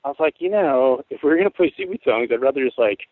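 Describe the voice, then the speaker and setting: A man in his forties from Tacoma, Washington, with a rough, worn voice, telling stories in a rambling, laid-back way as a guest on an interview show. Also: medium pitch, animated, fast talker, no echo. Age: 40s. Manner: rambling, laid-back storytelling with frequent swearing. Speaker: guest musician. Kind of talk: casual interview chat. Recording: tinny phone-like line, dry.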